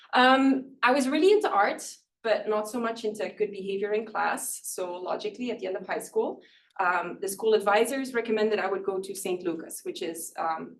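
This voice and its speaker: A woman from northern Belgium, Dutch her first language, delivers a public lecture in an easygoing, conversational way, dotted with fillers and quick laughs at herself. Her pitch sits in an ordinary middle range. The recording picks her up from some distance in a lecture room, with a faint hum of ambience underneath. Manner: conversational, self-deprecating, many fillers and small laughs. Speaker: professor giving award lecture.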